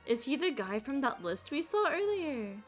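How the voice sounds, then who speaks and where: A woman's voice: sits high, big pitch swings, moderate pace. A woman livestreaming a video game, chatting casually and reading dialogue aloud. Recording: music behind the voice. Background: game music.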